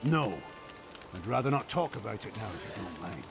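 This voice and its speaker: A man's voice: fairly low pitch, normal speed, gravelly, music in the background. An actor playing a wistful, guarded well-born man in a fantasy video game, reserved and polite. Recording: steady rain noise behind the voice.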